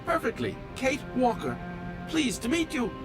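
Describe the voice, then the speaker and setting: A man's voice: very high voice, very animated, measured pace, music in the background, full character voice. A male actor voicing a fussy, polite automaton train engineer in a video game, with formal, precise, pedantic diction. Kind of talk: video game character dialogue.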